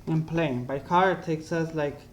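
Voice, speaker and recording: A young man casually narrating his life story over drawings, halting often and correcting himself as he goes. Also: on the high side, slight background noise. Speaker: vlogger.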